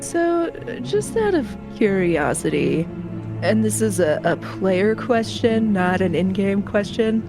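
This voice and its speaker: A woman with a middling pitch talks casually as a player at a tabletop game. Music is clearly audible underneath her. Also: very animated, takes it slowly. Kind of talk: casual out-of-character game chat. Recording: music playing behind the voice.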